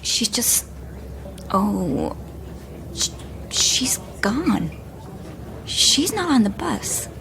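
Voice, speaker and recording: A woman playing a wary, serious young woman in a naturalistic audio drama, speaking in a guarded, hushed way. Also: a little high, big pitch swings, extremely slow, creaky, long pauses, noisy background, full character voice. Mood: anxious, hushed.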